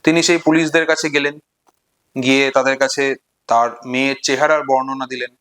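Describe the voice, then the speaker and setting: A man with a Bengali accent presenting literature, retelling and explaining stories in a deliberate way with pauses and repeated phrases for emphasis. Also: mid-range voice, level tone, a bit bright. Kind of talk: explanatory storytelling and literary commentary.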